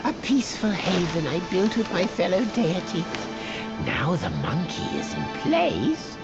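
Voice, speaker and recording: A woman voicing a scheming, self-pitying elder immortal in a theatrical, drawn-out way for a video game. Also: lowish voice, huge pitch swings, muffled recording, over loud music, exaggerated voice. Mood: gleeful, scheming. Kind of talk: video game cutscene acting.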